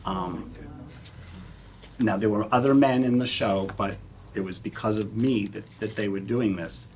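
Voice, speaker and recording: A man speaking as a theater panelist, sharing personal anecdotes in a halting way, often repeating words. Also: fairly low pitch. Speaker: panelist, community theater actor. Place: public panel event, live audience. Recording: clear background room noise.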